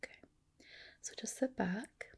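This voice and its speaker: A woman whispering a soothing ASMR roleplay as a reassuring clinician, in a soft, breathy voice. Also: unhurried.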